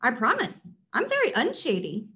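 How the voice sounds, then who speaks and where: A woman's voice: high-pitched, huge pitch swings, normal speed, bright, forceful tone, pitched above the performer's own voice, full character voice. A woman voicing a nervous, meek male shopper in a storybook, hesitant and defensive. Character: nervous meek man out buying fruit.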